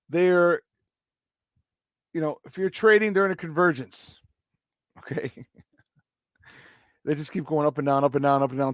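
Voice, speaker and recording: A man hosting a casual morning sports talk show, his voice a little hoarse, repeating words for emphasis and laughing often. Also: fairly high pitch, no echo, clean studio sound. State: slightly hoarse. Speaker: sports talk radio host.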